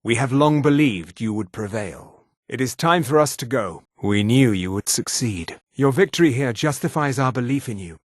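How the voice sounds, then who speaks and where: A man voicing a calm, grave high elf monk in a fantasy video game, speaking in a formal, measured manner. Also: no room sound, studio quality, natural voice. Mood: grave, approving.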